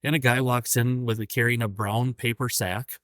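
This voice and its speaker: A man who runs an antique shop, co-hosting a podcast and telling a story in a relaxed, halting way. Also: medium pitch, dry recording, clean studio sound. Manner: relaxed, hesitant, many ums. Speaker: podcast co-host, antique dealer. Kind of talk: casual storytelling chat.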